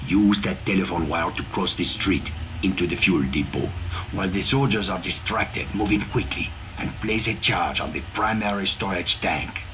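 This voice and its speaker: Man acting an intense low-voiced Frenchman. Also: normal speed, noisy background, natural voice. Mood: stern, instructing. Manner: terse, directive. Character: intense, commanding French man, comrade in sabotage. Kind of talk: video game cutscene acting.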